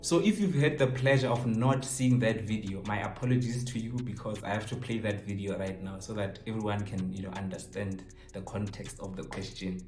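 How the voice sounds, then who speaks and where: Deep-voiced young South African man in echoey room. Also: fairly quick, few pauses. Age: 20s. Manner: animated, joking, code-switches between languages. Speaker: YouTuber answering viewer questions. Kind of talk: casual chat to camera. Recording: roomy echo, faint music behind.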